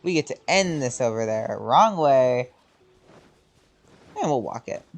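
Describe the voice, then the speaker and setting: A man's voice: mid-range voice, lively intonation, measured pace, faint music behind. A man streaming gameplay, making sparse, offhand, casual reactions as he plays. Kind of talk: casual reactions while playing.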